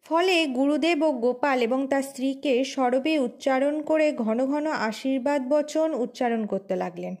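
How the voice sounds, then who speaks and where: A woman's voice: high-pitched, measured pace, few pauses, darkish tone. A woman hosting a story channel, reading a scripted tale as its narrator.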